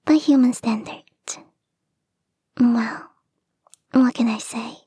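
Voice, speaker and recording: A woman voicing a playful, devoted kitsune fox girl in a soft, intimate, whispery ASMR roleplay, teasing and breathy, close to the mic. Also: a little high, slow pace, no echo, exaggerated voice. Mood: fond, wry. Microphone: close microphone, dry.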